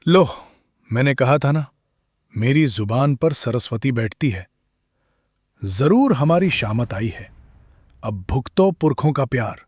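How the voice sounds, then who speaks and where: A man acts out a sour cartoon villager who loves saying I told you so. His voice is husky and swings up and down as he nags slowly and sarcastically. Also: mid-range voice, expressive, a little mellow, no room sound. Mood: smug, bitter. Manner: sarcastic, nagging, drawn out. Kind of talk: animated moral story dialogue.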